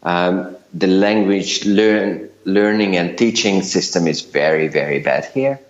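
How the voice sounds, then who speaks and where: A man's voice: low-pitched, measured pace. A middle-aged man with a Hungarian accent, talking as an interview guest in a hesitant way, with many fillers and restarts. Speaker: guest, LinkedIn consultant. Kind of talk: casual interview talk. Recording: roomy, dull lo-fi recording.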